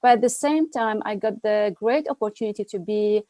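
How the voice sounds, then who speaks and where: A woman's voice: mid-range voice, moderate pace, warm tone, no room sound. A middle-aged woman from Lebanon, a company founder telling her career story as an interview guest in an earnest, thoughtful way with many hesitations. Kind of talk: answering interview questions, reflective storytelling.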